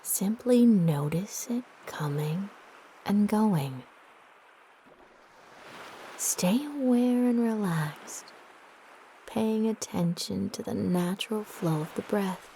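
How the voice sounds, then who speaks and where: A woman narrating a guided meditation in a soft, breathy, gentle and soothing manner with long pauses. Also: mid-range voice, animated, a little hiss or hum.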